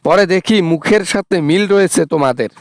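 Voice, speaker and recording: A man's voice: high-pitched, animated, a little fast. A man playing a greedy, sarcastic adult son, scornful and demanding, in a satirical phone-call skit.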